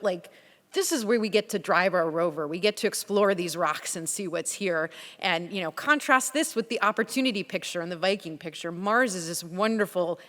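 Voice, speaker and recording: A woman's voice: medium pitch, fairly bright tone, big-room sound. A woman scientist giving an enthusiastic, earnest conference panel talk. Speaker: panel speaker, Mars rover scientist. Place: conference hall.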